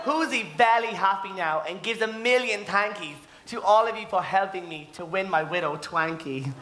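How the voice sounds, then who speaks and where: A man's voice: high voice, moderate pace, lightly acted. A man playing a happy, thankful comic pantomime suitor, declaiming rhymes on stage. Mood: cheery, grateful. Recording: distant echoey theatre recording with noise.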